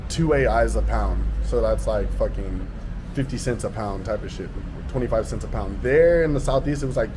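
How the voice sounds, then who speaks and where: A man's voice: fairly low pitch, moderate pace. Laid-back slangy man, noisy background. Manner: laid-back, slangy, rambling with many fillers. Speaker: guest sharing travel advice. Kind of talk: casual podcast chat. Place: Northeast Brazil, recorded on location.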